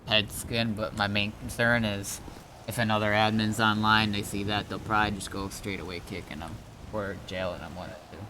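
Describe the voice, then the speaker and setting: A man streaming a game as an admin, chatting casually in a halting, explanatory way with some swearing. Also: on the low side, little pitch movement, noisy background.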